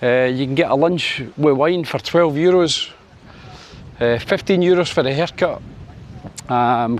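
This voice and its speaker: A Scottish man in his sixties from Scotland, chatting to camera on his vlog in a wry, filler-heavy way. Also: slight background noise. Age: 60s. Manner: chatty, wry, lots of fillers, lifts his pitch for sunny Spain. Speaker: vlogger. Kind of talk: casual opinion vlog to camera.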